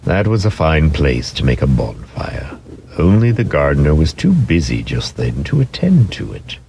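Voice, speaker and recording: A man narrating a children's storybook as an expressive, theatrical dramatic reading. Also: rumbling low, moderate pace, creaky, slight background noise.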